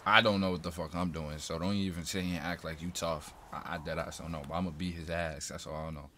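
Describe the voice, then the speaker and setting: A man's voice: deep voice, fairly even intonation, quick pace, background noise. A young American man doing casual game commentary in a blunt, slangy, profane way. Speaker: streamer playing and reacting to a game.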